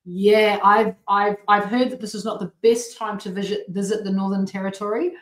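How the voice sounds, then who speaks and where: A woman's voice: mid-range voice, fairly even intonation, normal speed. Australian woman host, late 40s, chatty and bubbly, mid-range voice, live-stream chat in an echoey room. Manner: bubbly, rambling, with frequent restarts and laughs. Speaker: host of a healthy cooking show.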